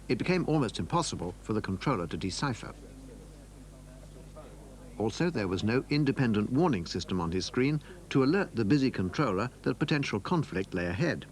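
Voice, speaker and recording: A man's voice: medium pitch, big pitch swings, fairly quick. A man reading scripted narration for a documentary.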